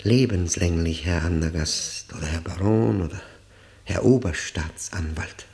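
An actor in a German radio play playing an embittered life prisoner, brooding and haunted, with a gravelly voice and deliberate, weighty delivery. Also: male voice, sits low, darkish tone. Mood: haunted dread. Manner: deliberate, halting, repeating words with grim weight. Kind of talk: German radio play acting, dramatic monologue.

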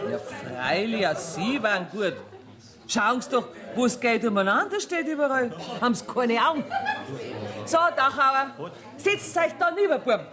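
Brash woman banker played by an actress, Bavarian dialect, self-assured, earthy, mocking, very lively intonation, 1979 radio drama, crowded room. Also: a little high, close to an everyday voice. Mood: breezy, boastful. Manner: earthy, brisk, bossy, mocking. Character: brash, self-assured woman banker holding court among tavern customers. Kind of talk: radio play acting in dialect. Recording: 1979 radio play, busy crowd behind.

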